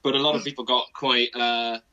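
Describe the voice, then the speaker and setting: A man's voice: mid-range voice, flat delivery, a little fast, pushed and bright. A man with a Welsh accent, a native Welsh speaker, chatting as a self-deprecating guest comedian. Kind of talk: casual anecdotal chat. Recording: dull online call audio.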